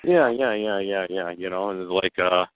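A man's voice: deep voice, moderate pace, a little hiss or hum. A man from Wisconsin chatting casually in a folksy way, filling his talk with "you know". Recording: dry narrow phone line.

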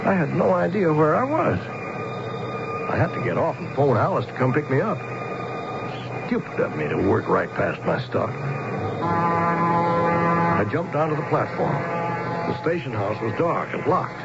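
An American actor playing a weary, rattled commuter husband in a 1970s radio drama, both narrating and speaking with hesitant, exasperated politeness. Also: male voice, fairly high pitch, sing-song, measured pace, gravelly, long pauses, full character voice. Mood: uneasy storytelling. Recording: dull 1970s radio broadcast, music behind.

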